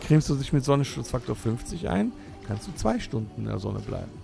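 Man in his 40s, gravelly, lively up-and-down delivery, lecturing know-it-all, comedic audio play. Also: medium pitch, brisk, music in the background. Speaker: podcast host.

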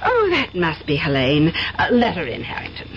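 An actress playing an effusive, flirtatious widowed aunt in a radio drama, chatty and coquettish. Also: female voice, fairly low pitch, huge pitch swings, normal speed. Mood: gushing, piqued. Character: gushing, flirtatious widowed aunt, easily piqued. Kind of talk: 1970s radio drama acting. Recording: dull, faintly noisy 1970s radio recording.

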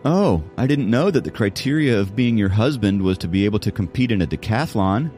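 A proud, wry teenage schoolboy in an audiobook, played by a man. His voice is pitched up and animated, with a boastful edge and music behind it. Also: brisk, natural voice. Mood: sarcastic. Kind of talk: audiobook character voice.

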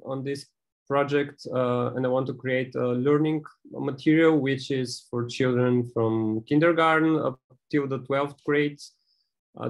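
A man in his thirties with a Bulgarian accent, giving an informal, halting presentation over a video call. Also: medium pitch, vocal fry. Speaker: presenter, maker. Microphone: video call microphone.

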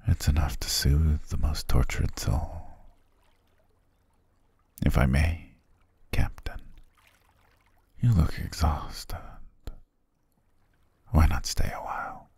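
A man playing a sly, alluring male siren in an ASMR roleplay, speaking softly and coaxingly in a husky, half-whispered voice. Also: rumbling low, fairly even intonation, exaggerated voice. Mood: gentle, coaxing. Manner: slow, soft, coaxing. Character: alluring male siren posing as grateful castaway, soothing, sly.

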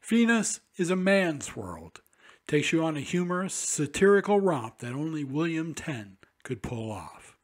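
A man's voice: on the high side, big pitch swings, unhurried. A man presenting a radio drama with a scripted, formal introduction. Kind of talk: scripted narration.

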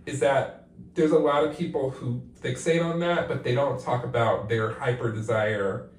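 A man's voice: medium pitch, fairly even intonation, moderate pace. A man in his forties, a playwright being interviewed, talking in a thoughtful, reflective way with lots of "like" fillers while sitting in a bathtub. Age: 40s. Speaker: guest playwright. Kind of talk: candid interview talk. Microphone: far camera. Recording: echoey bathroom, mic at a distance.

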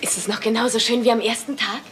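An actress playing a starry-eyed young newlywed, gushing and naive, in a dubbed sitcom. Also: female voice, a little high, steady tone, fast, fairly bright tone, a little hiss or hum, natural voice.

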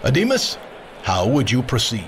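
An actor playing a stately veteran war advisor in a fantasy audio drama, speaking formally and with authority. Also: male voice, medium pitch, wildly swooping pitch, normal speed, background noise. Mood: grave, decisive.

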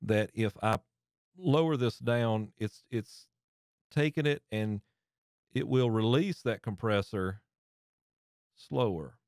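A man's voice: lowish voice, slow pace, long pauses, darkish tone, dry recording. A man in his thirties explaining a mic processor in a casual hands-on way from a home studio desk, talking into a close studio mic and often restarting phrases. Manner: chatty, explains while turning knobs, restarts phrases. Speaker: audio gear tutorial host. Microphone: studio mic on arm close to face.

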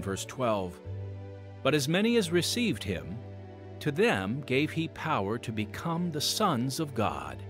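A man hosting and narrating a scripted radio podcast, addressing listeners earnestly and directly. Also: fairly low pitch. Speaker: podcast host. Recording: dry studio with music bed.

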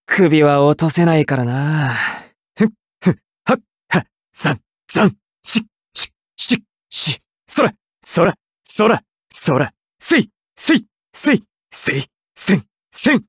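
A man's voice: a little high, big pitch swings, slow, no room sound, clean studio sound, exaggerated voice. An actor playing a cool, mocking young male assassin in an anime fighting game, with a detached, theatrical delivery. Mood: fierce exertion.

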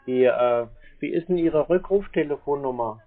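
A man's voice: a little high, normal speed, phone-quality sound. A man in a phone conversation, putting questions to a salesman. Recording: band-limited phone sound.

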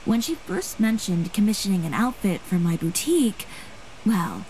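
Actress as composed, self-assured young boutique designer. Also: female voice, mid-range voice, expressive, moderate pace, a little mellow, a little hiss or hum, close to an everyday voice, low-quality recording. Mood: composed, admiring. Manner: composed, articulate, matter-of-fact. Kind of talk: scripted video game voice acting. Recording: game music behind the voice.

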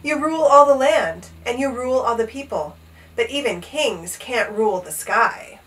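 Lady doing a worried little page boy in a kids story, highish voice, polite n timid. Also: expressive, moderate pace, a bit bright, distant mic, slight background noise, low-quality recording. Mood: earnest reasoning. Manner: timid, respectful, cautioning. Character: earnest, anxious young page boy pleading respectfully. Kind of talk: animated children's storybook read-aloud.

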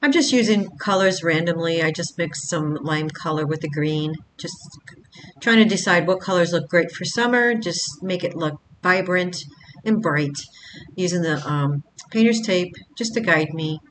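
A woman's voice: lowish voice, normal speed, slight background noise, low-quality recording. A woman hosting a craft channel, talking casually step by step through a project in a voice-over, with the odd filler. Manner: plain step-by-step explaining with fillers.

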